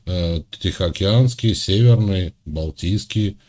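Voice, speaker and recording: A man from Russia hosting a chat, needling and mocking the person he talks to in a deadpan way. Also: low-pitched, slow pace. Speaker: host. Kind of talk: mocking video-call chat.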